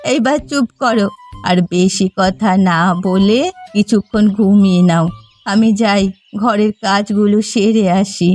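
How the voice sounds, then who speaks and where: A woman's voice: mid-range voice, a little slow, a little mellow, faint music behind, lightly acted. A woman voicing a scolding village wife in a cartoon story. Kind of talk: cartoon voice acting.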